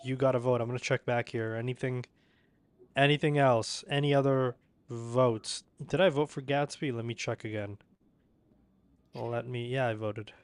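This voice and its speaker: A man's voice: mid-range voice, fairly even intonation, moderate pace, clean tone, no room sound. Chatty joking man, clean dry voice. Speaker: livestream host. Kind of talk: casual live commentary.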